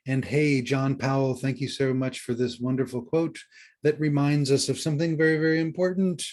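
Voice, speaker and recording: A man co-hosting a podcast in a conversational style, somewhat halting and repeating phrases for emphasis. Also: medium pitch, level tone, darkish tone. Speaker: podcast co-host and book coach.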